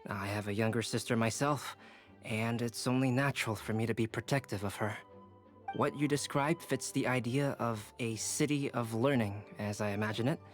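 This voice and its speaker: A man playing a calm, analytical young alchemist in a game cutscene, polite and formal. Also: mid-range voice, normal speed, faint music behind, close to an everyday voice. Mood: curious, composed. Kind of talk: video game cutscene acting.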